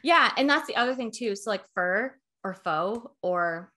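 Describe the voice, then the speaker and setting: A woman's voice: medium pitch, lively intonation, moderate pace, sharp tone. A young woman co-hosting a podcast in casual chat, talking with many "like"s and acting out what others said. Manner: chatty, heavy use of "like", acts out quoted people. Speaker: podcast co-host.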